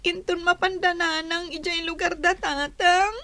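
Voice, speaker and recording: A woman's voice: very high voice, fairly even intonation, moderate pace, sharp tone, slight background noise, exaggerated voice. A woman with an Ilocano accent playing a tearful, plaintive young girl in a radio drama. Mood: tearful pleading. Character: sad, tearful young girl, left-out child.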